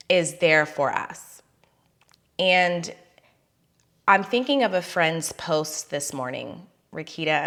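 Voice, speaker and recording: A woman's voice: on the low side, sharp tone. A woman hosting a wellness podcast in a casual, chatty way, just back from losing her voice, laughing at herself as she talks. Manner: chatty, laughs at herself, drawn-out emphatic phrases. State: recovering from a lost voice. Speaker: podcast host.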